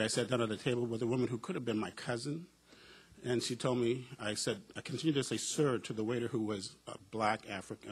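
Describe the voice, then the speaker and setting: Husky middle-aged man telling wry stories. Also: mid-range voice, a bit bright. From United States. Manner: reflective, wry, with frequent uh and um fillers. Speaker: panelist, professor. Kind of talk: personal storytelling at a panel discussion.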